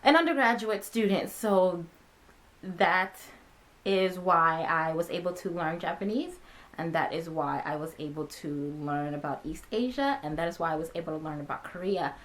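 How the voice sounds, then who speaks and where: A young woman in her twenties talks casually to her viewers, answering their common questions. Her voice sits a little low for a woman, and she speaks in a relaxed, friendly way, filling the pauses with ums and uhs. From born in Ghana, raised in America. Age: 20s. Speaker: vlogger. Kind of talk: casual talking-head Q&A.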